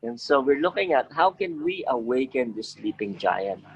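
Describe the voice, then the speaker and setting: A man's voice: mid-range voice, very animated, moderate pace. A man moderating an online meeting, speaking in a rallying style and putting questions to the group. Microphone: video call. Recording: dull, lo-fi video call audio.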